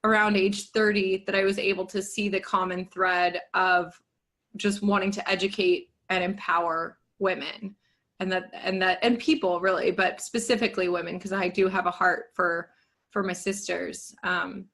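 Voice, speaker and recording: A woman in her thirties speaks openly as a podcast guest, chatty and self-deprecating. Her voice sits in a middle pitch. She laughs easily between thoughts. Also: level tone, normal speed, fairly bright tone. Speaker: yoga business coach and guest. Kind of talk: podcast interview.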